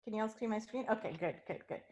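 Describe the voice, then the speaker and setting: Reflective woman from India, soft-spoken. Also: fairly high pitch, fast talker. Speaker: keynote speaker. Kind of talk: academic talk sharing her screen. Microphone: video call.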